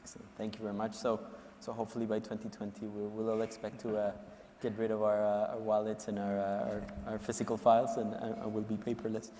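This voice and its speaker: A man's voice: lowish voice, completely flat delivery, quick pace, dark tone, slight background noise. A man moderating a panel, asking long, polite questions with many restarts. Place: conference hall panel stage. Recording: reverberant conference hall.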